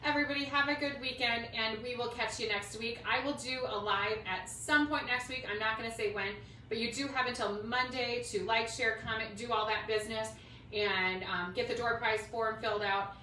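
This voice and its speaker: A woman's voice: fairly high pitch, steady tone, normal speed, bright, forceful tone. A middle-aged woman with a Minnesota accent chatting casually and informally as host of a craft livestream, rambling as she goes. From Minnesota, United States. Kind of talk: casual chat to a livestream audience. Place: indoors at home, roomy space. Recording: roomy, distant mic, faint noise.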